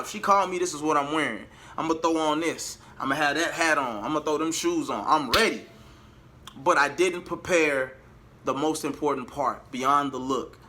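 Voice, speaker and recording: Bright, higher-voiced man vlogging. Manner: emphatic, repeats phrases for emphasis. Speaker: vlogger and R&B singer. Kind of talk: casual personal storytelling to viewers.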